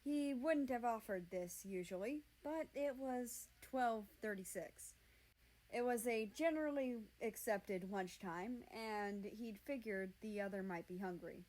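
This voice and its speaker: A woman's voice: a little high, measured pace, darkish tone, low-quality recording. A woman reading a scripted story aloud as its narrator. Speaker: reader of fan fiction aloud.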